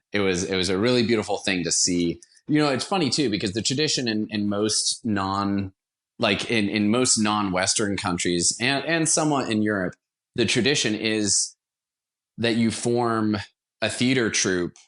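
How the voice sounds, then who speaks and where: A man's voice: sits low, fairly bright tone. An American man giving earnest, conversational interview answers as a guest, repeating words for emphasis. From Washington, D.C., United States. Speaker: guest, theater company founder.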